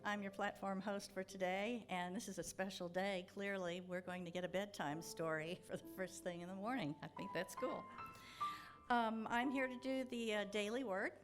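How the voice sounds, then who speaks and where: A woman hosts a church service in a mid-range voice. She chats informally and reads devotional passages with deliberate pauses. Faint music can be heard along with her. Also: mid-range voice, fairly even intonation. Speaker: church service platform host. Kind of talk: informal welcome and devotional reading. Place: church sanctuary.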